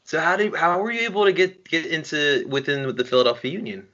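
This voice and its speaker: A man's voice: fairly high pitch, expressive, fast, sharp tone, muffled recording. An American man hosting a podcast, chatting informally and effusively in an upbeat, casual way as he interviews a guest. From South Jersey, United States. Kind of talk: casual sports podcast chat.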